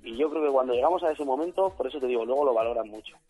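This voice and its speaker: A husky, slightly hoarse man talks loosely as an interview guest. He rambles and puts on other people's voices in quotes. Faint music runs under the radio studio sound. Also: mid-range voice, very fast, mellow. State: hoarse after a weekend of shows. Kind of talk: casual interview chat.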